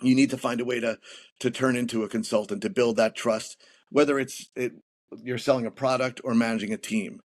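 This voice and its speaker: A man speaks as a guest on a business podcast, laying out his methods. His voice sits at a middling pitch, and he often repeats words and restarts phrases as he explains. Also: fairly even intonation, fairly quick. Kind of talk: interview answers on leadership.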